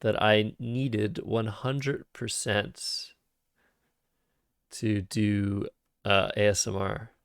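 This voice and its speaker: Man, low, gravelly fry, very slow, calming, dry close sound, cheap mic, game streamer thinking aloud. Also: no echo. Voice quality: calm, soothing voice; vocal fry. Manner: thinks aloud over moves, soft-spoken and unhurried. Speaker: Scrabble streamer. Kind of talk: live-streamed game commentary. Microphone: cheap microphone.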